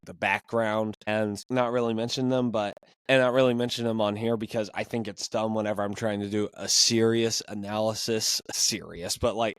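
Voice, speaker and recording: A hoarse young man hosting a casual sports podcast, ranting with animated, exasperated energy. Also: mid-range voice, no room sound. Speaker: podcast host.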